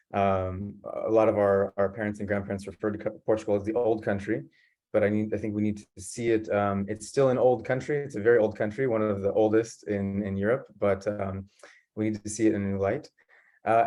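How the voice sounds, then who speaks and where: A man's voice: fairly low pitch, warm tone. A man from San Diego, California giving an earnest conference presentation over a video call, with frequent ums and uhs. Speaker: guest presenter.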